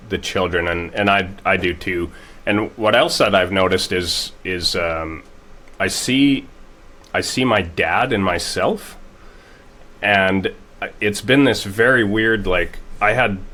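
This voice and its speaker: A man's voice: deep voice, pushed and bright. A man hosting an interview, talking hesitantly with many restarts as he works toward a question. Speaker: host. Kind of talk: interview conversation.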